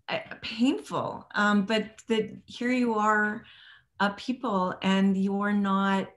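A woman hosting an interview, introducing her guest and asking questions in a conversational way, often searching for words. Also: medium pitch. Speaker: host.

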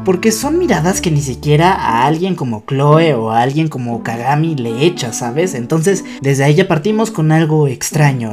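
A young adult man giving fan commentary as a YouTuber, talking directly to his viewers. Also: fairly high pitch. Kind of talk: scripted-feeling fan commentary to camera. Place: small bedroom, indoors. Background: background music.

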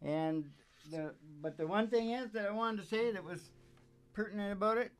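A man's voice: high voice, normal speed, clean tone. An older man chatting casually on a small stage, joking in a loose, meandering way. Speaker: show host and musician. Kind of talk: rambling casual stage chat. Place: small dim curtained stage. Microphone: stage microphone up close.

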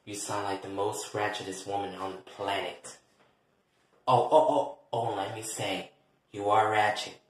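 A man voicing a cool, contemptuous woman who talks softly and measuredly in a comedy skit. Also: steady tone, slight background noise, natural voice. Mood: disdainful. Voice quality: soft, hushed, low. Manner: measured, icy, disdainful. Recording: very echoey, reverberant room.

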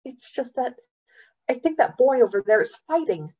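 A woman puts on an earnest young girl from a storybook. The voice rises in pitch, hesitant and embarrassed as she apologises politely. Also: lively intonation, normal speed, dark tone, breathy edge. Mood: flustered. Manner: hesitant, flustered.

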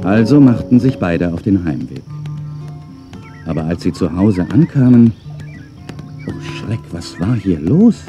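A man's voice: sits low, sing-song, measured pace, long pauses. A man narrating a scripted audio drama for children in a storytelling style. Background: music.

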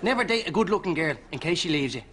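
An actor playing a dim, crude Irish bachelor in a sitcom, cheerfully blunt and unfazed. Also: male voice, fairly high pitch, animated, fast talker, lightly acted. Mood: cheeky. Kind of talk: sitcom acting. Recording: noisy studio sitcom audio.

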